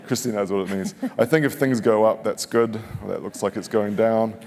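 A man's voice: fairly low pitch, normal speed, darkish tone. A man in his forties giving a casual, joking live product demo on a conference stage, often stopping and restarting his sentences. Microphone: headset mic. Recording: long reverb of a big hall.

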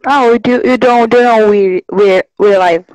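Young woman shouting excited fan. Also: on the high side, moderate pace, no room sound, rough recording. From Brazil. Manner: shouting, thrilled, broken English. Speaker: caller on a livestream. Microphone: phone on a video call.

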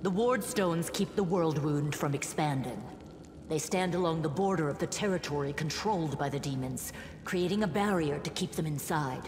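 A woman's voice: low-pitched, background noise, close to an everyday voice. A woman playing a stern, resolute military commander in a fantasy game, with a formal, grave and commanding manner. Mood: grim, businesslike. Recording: echoey reverberant hall, game music behind.

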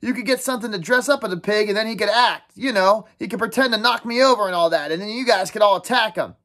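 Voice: mid-range voice, fast, a bit bright, voice pushed up, lightly acted. A narrator playing a bossy, brash schoolboy who leads the hunt in a storybook reading. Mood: matter-of-fact.

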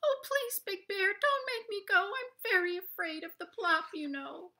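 A woman voicing the littlest rabbit in a storybook, small, timid and frightened, pleading in a high voice. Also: lively intonation, measured pace, bright, forceful tone, full character voice. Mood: scared, pleading.

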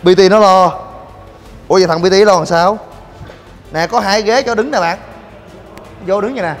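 A young man hosting a gym vlog, joking in rapid banter and shouting coaching cues. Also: sits high. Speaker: fitness vlogger. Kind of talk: energetic gym demo vlog. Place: large indoor gym. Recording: long gym reverb with music.

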